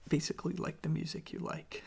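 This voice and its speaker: A soft-spoken ASMR host rambling casually in a gentle, hesitant, self-deprecating way, with a breathy, husky, whispery voice close to the microphone. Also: brisk, a little hiss or hum. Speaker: host of a relaxation channel showing records.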